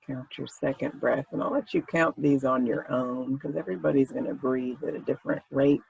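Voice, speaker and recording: A man leading a guided meditation in a gentle, informal, conversational way with unhurried phrasing. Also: mid-range voice, fairly even intonation, vocal fry, lo-fi sound, a little hiss or hum.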